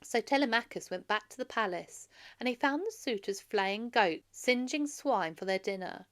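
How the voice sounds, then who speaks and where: A woman's voice: fairly high pitch, animated, moderate pace, a bit bright. A woman reading a story aloud for children as a narrator. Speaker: storybook reader.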